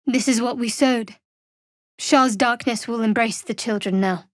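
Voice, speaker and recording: A woman playing a guarded, devout young cleric of a dark goddess in a fantasy video game, speaking in a measured, dry, slightly wry way. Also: a little high, dry recording, lightly acted. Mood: devout, solemn. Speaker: video game character voice actor. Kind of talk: scripted fantasy game dialogue.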